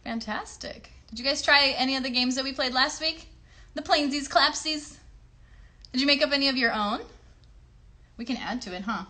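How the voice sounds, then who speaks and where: A woman's voice: high voice, normal speed, pauses often, bright, forceful tone. A woman hosting a casual children's livestream, encouraging young viewers and teaching crafts with frequent little check-in questions. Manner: encouraging, addresses children directly with tag questions.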